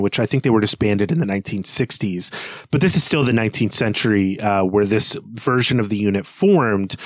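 A man's voice: medium pitch, no room sound, clean studio sound. A man co-hosting a literary podcast, explaining things in a thoughtful, tentative way as he feels through ideas. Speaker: podcast co-host.

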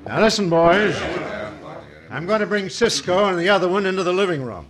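An actor playing a ruthless, commanding cattle baron villain in an old-time radio western. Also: male voice, sits high, lively intonation, brisk. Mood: commanding, scheming. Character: ruthless, smooth-talking wealthy American cattle baron villain. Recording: noisy old radio recording.

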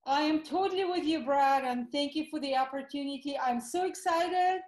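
A woman's voice: high voice, moderate pace, darkish tone, echoey room. Eager Russian-accented woman. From former Soviet Union. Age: middle-aged. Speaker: guest doctor. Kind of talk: interview over a call.

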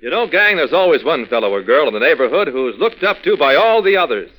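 Peppy, animated vintage radio announcer man. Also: on the high side, fast, a little hiss or hum, poor audio. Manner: peppy, instructive, chummy. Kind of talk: 1940s radio scripted address to children.

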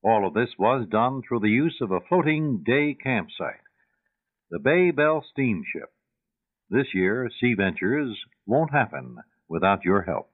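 A man reading a scripted charity appeal as a radio announcer on a tinny old recording. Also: medium pitch.

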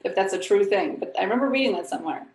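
A woman's voice: mid-range voice, animated, rapid-fire, a little mellow, roomy sound. A woman guest on a podcast, a musician, chatting in a friendly, easygoing way. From Los Angeles. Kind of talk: casual interview chat.

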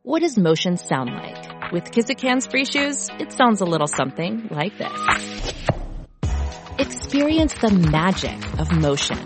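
A woman narrates a commercial in a mid-pitched voice. Her intonation is very animated, and music plays clearly behind her. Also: measured pace. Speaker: commercial voice-over. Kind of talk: scripted ad narration.

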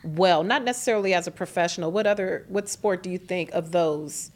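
A woman co-hosting a boxing podcast, lively and playful with colloquial phrasing. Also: fairly low pitch, a little fast. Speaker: podcast co-host. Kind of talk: podcast interview.

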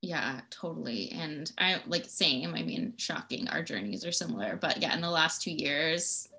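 A woman's voice: sits low, expressive, moderate pace, bright, forceful tone. A woman in her thirties, a guest on a podcast, chatting casually and with enthusiasm, laughing between phrases. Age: 30s. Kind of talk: casual chat.

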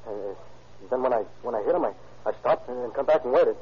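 A man's voice: medium pitch, fast talker, slight background noise, close to an everyday voice. A man playing a stammering, frightened hit-and-run driver. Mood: fearful. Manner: stammering, nervous. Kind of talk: 1930s radio drama acting. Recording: dull lo-fi 1930s radio recording.

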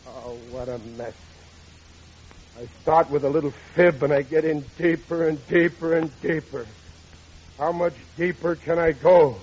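A man's voice: fairly high pitch, steady tone, measured pace, a little mellow, husky. An actor playing a blustering, self-pitying, rather dim working-class father in an old radio comedy. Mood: fretful. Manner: whining, indignant, colloquial. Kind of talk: 1940s radio comedy acting. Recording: dull, noisy old radio recording.

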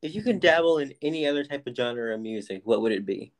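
A woman hosting a casual interview with an extremely low voice that still swings widely in pitch. She moves briskly and quickly from question to question. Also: expressive. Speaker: host.

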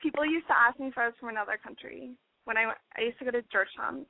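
Young woman caller, hesitant and mumbly, high voice, phone-line sound. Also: racing, gravelly, no room sound. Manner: hesitant mumbling with many fillers.

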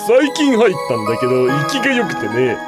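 Radio-play sushi boss, played by a woman, friendly and gruffly direct, swooping delivery over clear background music. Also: sits low, huge pitch swings, brisk. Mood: friendly, pitching. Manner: hearty old-Tokyo shopkeeper talk. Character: friendly, blunt male sushi bar master. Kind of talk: comic radio drama.

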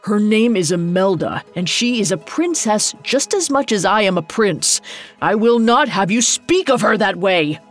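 A woman's voice: fairly low pitch, very animated, slow, hardly any pauses, husky, heavily acted. A proud young storybook prince , voiced by a woman reader. He speaks in formal, indignant phrases and refuses to back down, with faint music underneath.

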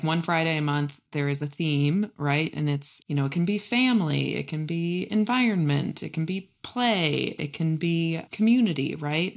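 A woman hosting a casual interview, easygoing and chatty, often saying "like" and "right?". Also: low-pitched. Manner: relaxed, frequent "like" and "right?". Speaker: interview host.

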